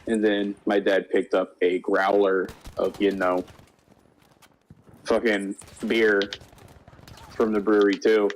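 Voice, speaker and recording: Young guy, lowish voice, just shooting the breeze, swears a lot and jokes around. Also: flat delivery, takes it slowly, long pauses. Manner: profane, joking banter. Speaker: co-host. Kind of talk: casual storytelling chat over a game.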